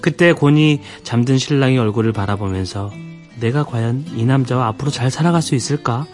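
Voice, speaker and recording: A man's voice: medium pitch, fairly quick, a little mellow, faint music behind. A man on a radio show, expressively telling a listener's story.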